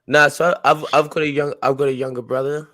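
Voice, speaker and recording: A man's voice: a little high, level tone, fairly quick, bright, forceful tone. A young man from Australia, a guest in a casual interview, talking in a laid-back, rambling way with many restarts.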